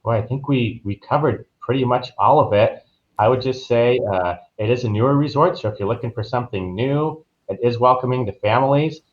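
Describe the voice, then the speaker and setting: A man's voice: medium pitch, fairly quick. A man speaking as an interviewed travel advisor, explaining things in a casual, informal, loosely phrased way. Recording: dull, muffled lo-fi audio.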